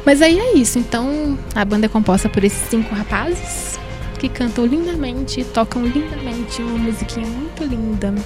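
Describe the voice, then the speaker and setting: A woman's voice: on the high side, expressive, moderate pace, music in the background. A woman with a Brazilian Portuguese accent co-presenting a radio show in a playful, chatty way with jokey asides. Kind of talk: casual radio chat.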